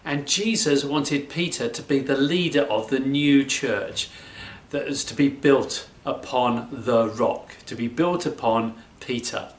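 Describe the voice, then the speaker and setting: An older man telling a Bible story to children in an emphatic, deliberate way. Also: medium pitch. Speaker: children's church presenter. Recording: roomy, echoing indoor sound.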